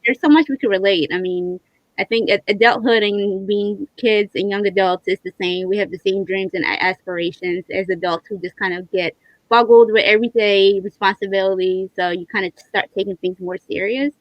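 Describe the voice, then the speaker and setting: A woman's voice: mid-range voice, steady tone, normal speed, dry recording, highs cut off. A woman hosting a casual livestream in an enthusiastic, appreciative way. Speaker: host.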